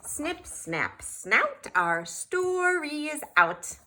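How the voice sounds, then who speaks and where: A woman reading a children's picture book aloud, expressive and speaking to young listeners. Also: high-pitched, extremely slow. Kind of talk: storybook read-aloud.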